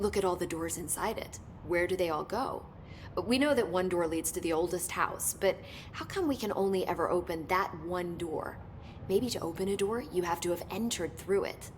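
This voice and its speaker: An eager young scientist from a video game, acted by a woman, American and on the low side for a female voice. She explains things in a chatty, excitable way. A faint rumble sits underneath. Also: close to an everyday voice. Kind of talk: video game dialogue acting.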